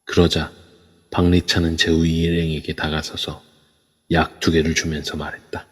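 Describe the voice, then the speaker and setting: A man narrating an audio drama in an even storytelling manner. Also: very deep voice, moderate pace, breathy edge. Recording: echoey long reverb.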